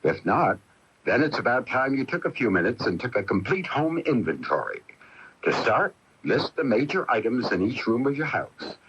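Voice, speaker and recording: A man's voice: medium pitch, sing-song, normal speed, a bit bright, a little hiss or hum. A man reading a scripted consumer advice announcement on the radio. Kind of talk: scripted public service announcement. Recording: dull radio broadcast.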